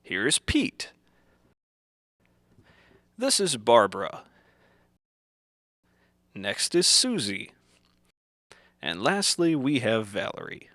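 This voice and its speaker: A man reading scripted instructional narration in the manner of an old educational film, deliberate and didactic, in simple phrases. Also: mid-range voice, big pitch swings, bright, forceful tone, dry recording, studio quality.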